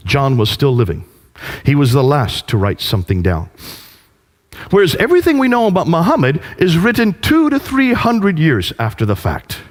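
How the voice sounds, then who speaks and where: A man's voice: a little high, wildly swooping pitch, moderate pace, big-room sound. A man giving a preaching lecture, emphatic, full of rhetorical questions.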